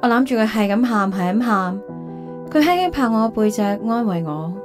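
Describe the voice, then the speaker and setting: A woman's voice: fairly high pitch, animated, normal speed, natural voice. An actress playing a reflective, wistful single career woman in a radio drama, with a Hong Kong Cantonese accent. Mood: sorrowful, reflective. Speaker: lead actress and narrator. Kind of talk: radio drama narration and dialogue. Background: background music.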